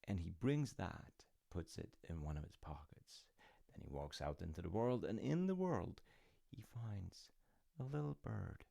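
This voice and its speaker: A man playing a gentle, wryly amused dad who tells his kid a folktale in an unhurried, musing, conversational way for an audio roleplay. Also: sits low, big pitch swings, creaky, no room sound, clean studio sound, full character voice. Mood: gently amused. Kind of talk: audio roleplay, rambling bedtime-style storytelling.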